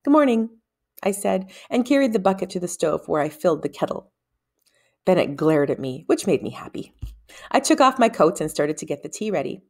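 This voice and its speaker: A woman narrating a story aloud in an expressive, deliberate storybook style with dramatic phrasing. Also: on the low side.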